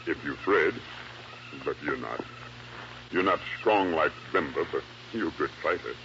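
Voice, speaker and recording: An actor playing a huge, loyal Haitian guide with a Haitian accent, speaking simple broken English in an old radio drama. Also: male voice, very deep voice, animated, normal speed, creaky, sharp tone, exaggerated voice. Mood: calm, loyal. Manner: broken English, speaks of himself in third person. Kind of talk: 1950s radio drama acting. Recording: dull, noisy old radio recording.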